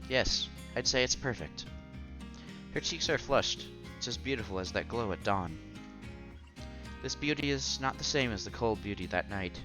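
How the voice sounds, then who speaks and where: A man's voice: mid-range voice, expressive, moderate pace, natural voice. A man playing an earnest, bashful young college student who narrates his own romance in a visual novel. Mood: admiring. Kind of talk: visual novel read-aloud. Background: music under the voice.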